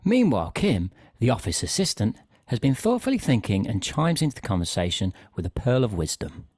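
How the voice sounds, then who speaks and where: A man's voice: mid-range voice, big pitch swings, a little fast, darkish tone, dry recording, clean studio sound. A man narrating a scripted comic story.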